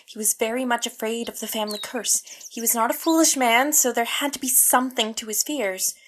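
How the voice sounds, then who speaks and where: Guarded, earnest sister , female actor, audio drama: higher pitch, formal, composed urgency; noisy track with birds. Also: fairly quick, lightly acted. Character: earnest, guarded naturalist's sister warning of danger.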